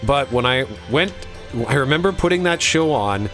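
A man co-hosting a podcast in casual conversation, speaking in a halting way as he thinks aloud. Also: mid-range voice, lively intonation, a bit bright. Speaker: podcast co-host. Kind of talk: casual chat about TV. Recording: music behind the talk.

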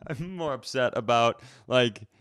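A man's voice: mid-range voice, slow pace, fairly bright tone, smooth, no room sound, studio quality. A man co-hosting a comedy podcast in casual banter, exasperated and sweary, often repeating himself as he goes.

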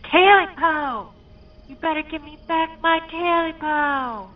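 A woman voicing a small vengeful clawed creature with slow, drawn-out hissing wails. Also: high-pitched, lo-fi sound, voice pushed up. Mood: wailing, demanding.

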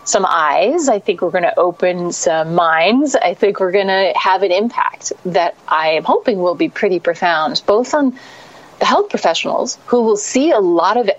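A woman's voice: fairly low pitch, expressive, moderate pace. Enthusiastic woman guest, lo-fi sound. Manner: enthusiastic, fluent. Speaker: executive director, guest. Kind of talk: interview.

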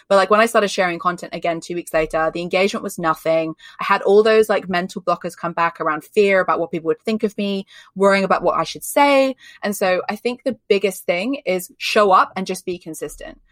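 A woman's voice: medium pitch, a bit bright, dry recording. A woman from Australia who speaks with an English accent, a guest expert giving emphatic, chatty interview answers full of "like" fillers. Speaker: guest, personal branding expert. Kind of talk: candid conversational interview answers.

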